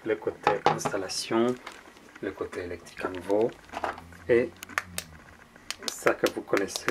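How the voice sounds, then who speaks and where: A man's voice: lowish voice, background noise. A man in his forties with a French accent, chatting casually to camera as an artist vlogger and calling his viewers friends. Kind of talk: casual vlog talk to camera. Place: large empty exhibition hall, indoors. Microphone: handheld camera at arm's length. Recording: long hall reverb with music behind.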